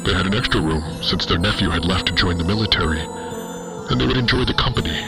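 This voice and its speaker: A man's voice: deep voice, animated, fast, over music. A man playing a brooding young space villain, solemnly narrating a radio-style ghost story with self-importance. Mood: solemn, spooky.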